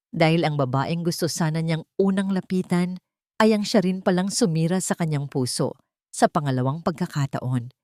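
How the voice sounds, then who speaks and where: A woman narrates a dramatic romance tale. Her voice sits on the lower side, and the recording is dry with hardly any room sound. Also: darkish tone, no room sound. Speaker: story narrator.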